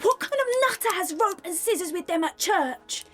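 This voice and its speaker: Very high-pitched, fragile asylum girl. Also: animated, moderate pace, a bit bright, soft background music. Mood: frightened. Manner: distressed, protesting. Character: fragile, despairing young asylum inmate, lovesick and easily provoked. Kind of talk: audio drama acting.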